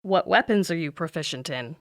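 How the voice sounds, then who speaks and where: A woman's voice: deep voice, lively intonation, fairly quick, no room sound, studio quality. A woman playing a no-nonsense young monster hunter in a comedic audio drama, firm and businesslike. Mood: stern.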